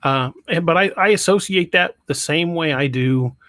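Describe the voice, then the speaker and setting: A man's voice: on the high side, moderate pace, fairly bright tone, no echo, clean studio sound. A middle-aged man, hosting a casual livestream podcast, often restarting his sentences. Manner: stammering restarts, chatty and joking. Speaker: podcast host. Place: home room.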